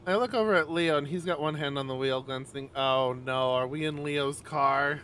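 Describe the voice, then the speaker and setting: A man livestreaming a visual novel, mixing expressive, sweary casual chat with reading the narration aloud. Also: a little high, moderate pace, a little hiss or hum.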